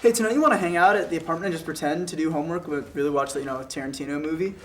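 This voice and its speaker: A man's voice: a little high, rapid-fire, fairly bright tone, close to an everyday voice. A young man acting a blunt, sarcastic college buddy in a table read, with joking, slangy delivery. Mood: casual, incredulous. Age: young adult. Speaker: actor at a script table read. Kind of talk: casual dialogue read aloud from a script. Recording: noisy room recording.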